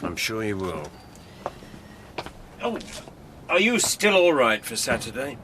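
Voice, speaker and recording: An actor playing a genial but pointed police chief in a radio drama. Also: male voice, mid-range voice, wildly swooping pitch, slow pace, bright, forceful tone, slight background noise, full character voice.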